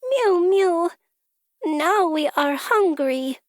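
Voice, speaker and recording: A woman's voice: extremely high pitch, animated, extremely slow, no room sound, studio quality, pitched above the performer's own voice, heavily acted. A woman voicing small storybook kittens, needy and vain, in a childlike, plaintive, insistent manner.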